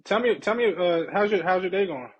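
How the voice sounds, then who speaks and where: A man with a somewhat high-pitched voice hosts a casual interview. He speaks informally and colloquially, with brief questions and quick acknowledgements. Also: expressive, muffled recording. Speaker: podcast host.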